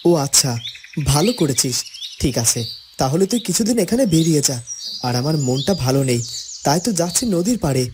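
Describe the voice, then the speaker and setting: A man's voice: a little high, lively intonation, brisk, noisy background, close to an everyday voice. Man voicing an earnest, firm young village husband. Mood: polite, subdued. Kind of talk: Bengali fairytale cartoon dubbing.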